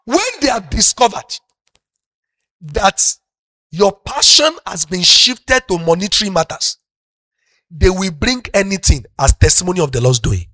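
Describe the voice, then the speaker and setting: A middle-aged man with a Yoruba-speaking Nigerian accent preaches a sermon in a high, bright voice. His pitch swings widely as he climbs from quiet talk into loud, impassioned shouting. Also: sing-song, a little slow, no echo, studio quality. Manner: preaching to a congregation, builds from soft talk to loud passionate shouting. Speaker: pastor.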